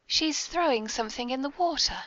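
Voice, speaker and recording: Genteel, mischievous British hostess, played by a woman, formal and prim, fairly high register, muffled lo-fi podcast sound. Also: fairly quick, fairly bright tone, no room sound. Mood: arch, amused. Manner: prim, formal, arch, polite. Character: genteel, mischievous lady mastermind with secret lair. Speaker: podcast host. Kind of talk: scripted comic audio drama. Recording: dull lo-fi podcast recording.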